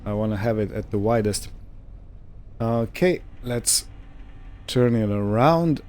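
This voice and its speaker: A man giving casual let's-play commentary on a video game, musing to himself in an unhurried way. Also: fairly low pitch, animated, a little mellow. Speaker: gamer narrating own farming simulator playthrough.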